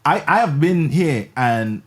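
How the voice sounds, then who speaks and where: A man from the Caribbean with a Caribbean accent, hosting a casual on-air interview and joking playfully, his voice leaping up in pitch. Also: expressive, measured pace. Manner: playful, jumps high in pitch when joking. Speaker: radio show host.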